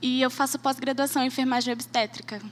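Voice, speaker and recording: Higher-voiced young Brazilian woman. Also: steady tone, fast talker, a little hiss or hum. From Rio de Janeiro state, Brazil. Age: 20s. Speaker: vocal group singer. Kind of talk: casual interview answers. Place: large auditorium stage. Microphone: handheld microphone.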